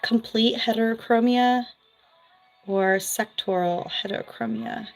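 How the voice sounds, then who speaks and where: A chatty woman with a Philadelphia accent, talking frankly and casually to her viewers. She throws in quick asides, and soft music plays faintly behind her. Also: a little high, steady tone, vocal fry, poor audio. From US East Coast, New Jersey. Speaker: vlogger, former nurse. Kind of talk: casual livestream chat reading viewer comments.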